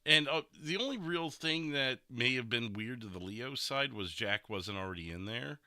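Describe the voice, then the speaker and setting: A man's voice: medium pitch, expressive, moderate pace, pushed and bright, dry recording, clean studio sound. A man hosting a stream, explaining game roleplay in an informal, casual way. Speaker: stream and podcast host.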